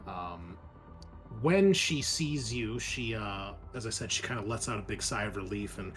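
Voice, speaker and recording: A man improvising descriptive, halting narration as the game master of a tabletop roleplaying session. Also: lowish voice, animated, fairly bright tone, faint music behind.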